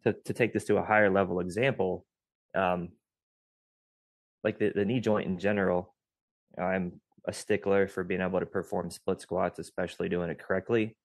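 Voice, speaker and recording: Low gravelly man explaining training. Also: little pitch movement, moderate pace, no room sound. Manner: explanatory, technical, lecturing. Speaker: podcast co-host, physical therapist. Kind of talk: casual podcast discussion.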